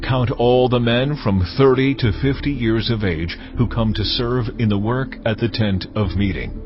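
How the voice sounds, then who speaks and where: The solemn voice of God as played by a man in a dramatized audio Bible. He gives commands with measured authority at a middle pitch. The sound is slightly dull, with music clearly playing behind. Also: close to an everyday voice. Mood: solemn, commanding. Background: clear background music.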